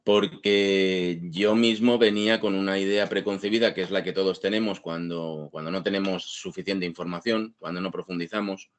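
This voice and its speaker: A man from Spain with a Castilian accent, explaining as an interview guest in a discursive, halting way, repeating words as he thinks. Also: sits low, fairly even intonation. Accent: Spanish (Spain). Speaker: guest author, historian and archaeologist.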